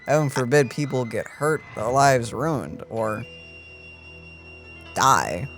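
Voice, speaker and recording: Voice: medium pitch, lively intonation, slow, a bit bright. A gaming streamer giving casual reaction commentary with a sarcastic, dry manner. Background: game music.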